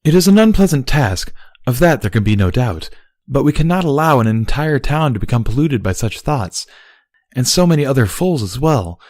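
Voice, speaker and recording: A storybook pony princess, voiced by a man, speaks well above the reader's natural pitch. She is formal and dutiful, arguing her case in measured, stilted phrases. The sound is dry. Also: big pitch swings, a little mellow, no echo. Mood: grave. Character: formal, dutiful, argumentative immortal pony princess, younger sister. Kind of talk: dramatised storybook reading.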